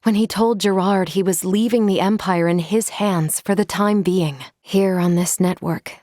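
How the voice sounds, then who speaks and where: An actress voicing a composed, polite female android in a video game, speaking in formal, measured, precise phrases. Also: medium pitch, fairly even intonation, mellow, dry recording, studio quality, lightly acted. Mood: serious, measured. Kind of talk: scripted video game character lines.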